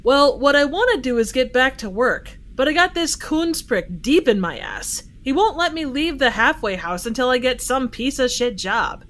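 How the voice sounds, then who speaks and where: A woman's voice: high voice, animated, normal speed, few pauses, fairly bright tone. A woman playing a cocky, sardonic, foul-mouthed ex-convict gangster in an amateur audio drama. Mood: frustrated.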